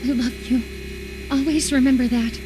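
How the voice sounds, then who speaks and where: A woman acting a high-pitched, unhinged obsessive lover. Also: steady tone, moderate pace, background noise, close to an everyday voice. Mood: tender reassurance. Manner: intense, volatile, menacing, swinging between tenderness and fury. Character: unhinged, obsessive lover convinced an impostor replaced their beloved. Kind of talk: dramatic horror visual novel voice acting.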